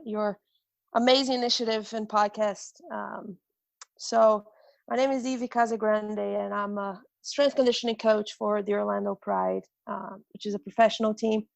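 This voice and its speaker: A woman's voice: fairly high pitch, creaky, no echo. A woman with a Brazilian accent, a strength coach, telling her life story with frequent hesitations and restarts. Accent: Brazilian Portuguese. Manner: hesitant, many ums and restarts.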